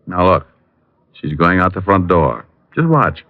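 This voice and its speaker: A man's voice: sits low, sing-song, unhurried, exaggerated voice. An actor playing a breezy, wisecracking male private detective in a vintage radio drama. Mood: knowing. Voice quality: wisecracking, teasing. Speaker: detective lead and narrator. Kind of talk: 1940s radio acting. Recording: muffled vintage radio broadcast with music.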